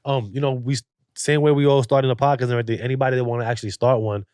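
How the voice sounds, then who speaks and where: A man in easy podcast chat who rushes his words and restarts them, repeating himself as he goes. His medium voice sits close and dry. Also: mid-range voice, fairly even intonation, a little mellow, smooth, no room sound. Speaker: podcast co-host. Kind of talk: casual chat.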